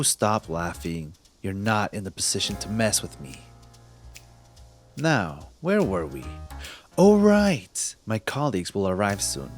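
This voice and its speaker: A smug, teasing cop played by a man speaks in a very low voice, addressing the listener up close in an ASMR roleplay. He takes his time, with halting, intimate phrasing that gives away how smitten he is. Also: darkish tone. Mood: smug, taunting. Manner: teasing, intimate, halting, drops into breathy murmurs. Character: smug young male cop, secretly smitten with thief. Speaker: voice actor in audio roleplay.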